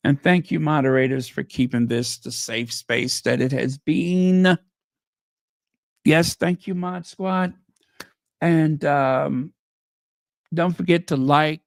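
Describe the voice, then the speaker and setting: A man's voice: on the high side, creaky, no room sound, studio quality. A man hosting a casual livestream chat, losing his voice and making sarcastic, mocking asides. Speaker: livestream host.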